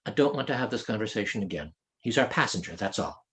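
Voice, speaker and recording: A man's voice: lowish voice, sing-song, fast, fairly bright tone, voice pushed down. A commanding woman captain of a sailing ship, voiced by a male narrator in a fantasy audiobook. The speech is formal, firm and clipped, with intonation that shifts markedly. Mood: stern. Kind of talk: fantasy audiobook character dialogue.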